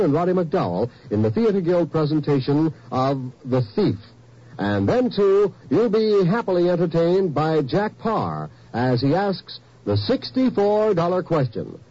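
A man reading network program promos in a smooth, upbeat announcer delivery. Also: fairly high pitch, dark tone, no room sound. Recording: dull old radio broadcast.

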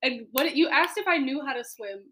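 A woman's voice: sits high, animated, fairly quick, fairly bright tone, a little hiss or hum. A woman in her twenties with a Wisconsin accent, co-hosting a casual chat in a playful, joking way. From Wisconsin, USA. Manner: playful, jokey, bursts of laughter. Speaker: podcast co-host.

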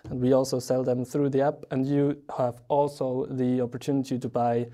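A man's voice: medium pitch, little pitch movement, moderate pace, mellow. A man hosting a podcast in a studio, interviewing in an easygoing, conversational way. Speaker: podcast host. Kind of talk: casual interview.

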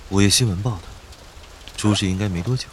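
A man's voice: fairly low pitch, quick pace, a little hiss or hum, close to an everyday voice. An actor playing a cool, controlled man who gives orders to a friend, in a modern radio drama. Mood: cool, businesslike.

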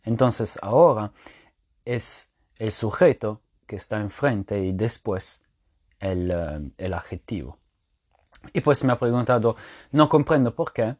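A man whose first language is French talks through a language point in a low voice. He explains patiently and thinks aloud, often pausing on filler sounds and restarting words. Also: expressive, a little mellow. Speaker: language teacher podcaster. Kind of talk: casual spoken lesson to listeners.

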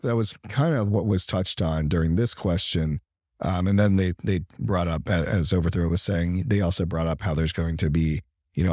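Deep, husky man losing his voice. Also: a little mellow, dry recording, clean studio sound. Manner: halting, rambling. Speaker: livestream and podcast co-host. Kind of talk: casual gaming talk.

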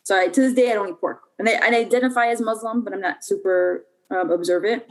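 A woman's voice: mid-range voice, fast talker, poor audio. A young adult woman from Puerto Rico, a podcast guest telling her life story in a casual, chatty way with lots of fillers and restarts.

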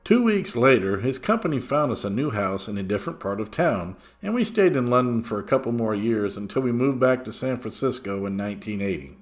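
A man's voice: medium pitch, animated, fairly quick, few pauses. A man reading a scripted first-person scary story as a narrator. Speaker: story reader.

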